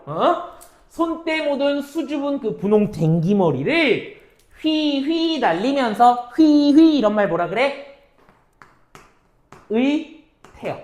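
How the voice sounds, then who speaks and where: Female teacher, lively intonation, rhetorical questions, casual-polite mix, roomy echo. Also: sits high. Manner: asks quick questions and answers them herself; mixes casual and polite speech, checks understanding often. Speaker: Korean literature teacher. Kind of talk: exam-prep lecture.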